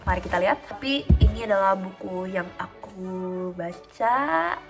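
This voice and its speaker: A woman's voice: mid-range voice, a little slow, gravelly. Chatty young woman vlogging over faint music. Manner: calm and chatty, with frequent fillers and laughs. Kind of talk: casual vlog chat. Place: at home.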